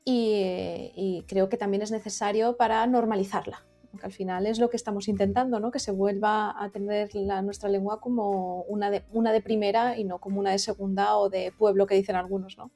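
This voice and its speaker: A woman from the Valencia region, speaking as a podcast guest. Her voice is mellow and dark, and she explains her ideas thoughtfully, with faint music in the background. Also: mid-range voice, fairly even intonation, brisk. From Valencia region, Spain. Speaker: guest, content creator. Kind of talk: conversational interview.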